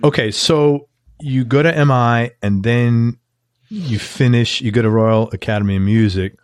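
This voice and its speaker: A man's voice: mid-range voice, a little slow, clean tone, dry recording, clean studio sound. A man interviewing a guest, asking short questions and giving brief reactions. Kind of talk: casual interview.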